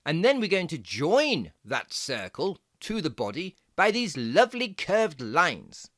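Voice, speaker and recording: A performer voicing a jaunty, fussy fox who gives playful, step-by-step drawing lessons for children in a theatrical, deliberate, encouraging way. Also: medium pitch, wildly swooping pitch, a bit bright, dry recording, studio quality, heavily acted. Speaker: presenter of children's drawing tutorial.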